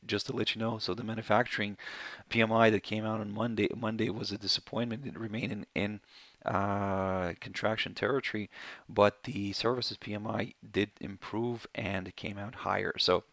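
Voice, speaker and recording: Hoarse man with a gravelly voice. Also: on the low side, level tone, normal speed, fairly bright tone, dry recording. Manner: rambling, many fillers and self-corrections. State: hoarse, voice going. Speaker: market analyst hosting a webinar. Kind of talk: live market webinar commentary.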